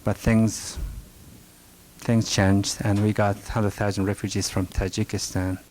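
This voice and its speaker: Soft-spoken Afghan man, low flat voice. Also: poor audio. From northern Afghanistan. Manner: halting, frequent restarts and fillers, soft-spoken. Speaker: rug merchant giving a talk. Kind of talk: answering audience questions at a presentation. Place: library meeting room. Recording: faint background hiss, archival recording.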